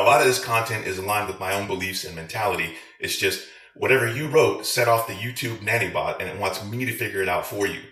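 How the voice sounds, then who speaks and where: Low-voiced man ranting with crude sarcasm, roomy sound. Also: sharp tone. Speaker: video creator, military veteran. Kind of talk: profane rant to viewers.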